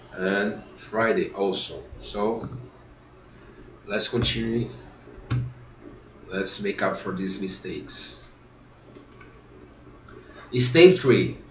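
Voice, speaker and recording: A man's voice: medium pitch, reverberant, slight background noise, poor audio. A man with a congested, stuffy nose from a cold, reading a classic novel aloud and often stopping to reread and correct himself. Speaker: reader of a book series.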